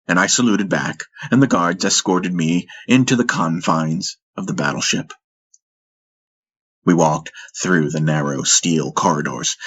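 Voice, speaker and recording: A man narrating first-person fiction in a measured, dramatic storytelling manner. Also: low voice, a bit bright. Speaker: audiobook narrator.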